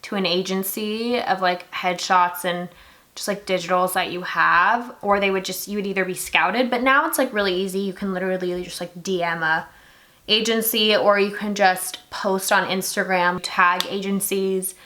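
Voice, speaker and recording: A woman's voice: medium pitch, steady tone, normal speed, sharp tone. A young woman from Singapore chatting casually while doing her makeup, filling her talk with lots of "like". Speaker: beauty vlogger.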